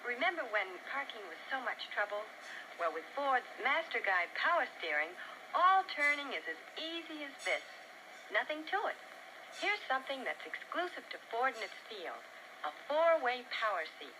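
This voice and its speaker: A woman giving a chatty, demonstrative scripted sales pitch in the style of a 1950s television car commercial, on a vintage soundtrack. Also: very animated, normal speed, background noise. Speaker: commercial spokeswoman.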